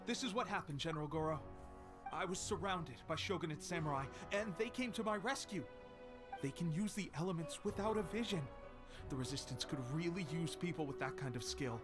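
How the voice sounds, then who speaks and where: An actor playing an eager, proud and friendly young soldier in a video game, earnest and a little boastful. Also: male voice, on the high side, animated, a little fast, over music, close to an everyday voice.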